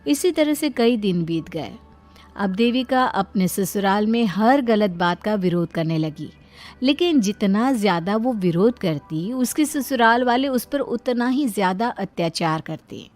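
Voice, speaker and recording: A woman's voice: medium pitch, expressive, unhurried, a little mellow, faint music behind. A woman narrator reading a scripted moral story in a dramatic storytelling style.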